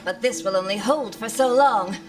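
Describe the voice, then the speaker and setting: An actress playing a brisk spaceship captain who takes charge with deliberate, commanding delivery in a comic sci-fi radio play. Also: female voice, medium pitch, over music, natural voice.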